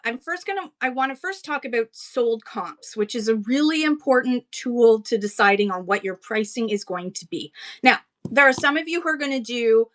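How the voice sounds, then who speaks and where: Chatty Canadian woman, higher voice. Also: fairly bright tone. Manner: chatty and laughs easily between points. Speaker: livestream seller and host. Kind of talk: casual livestream teaching.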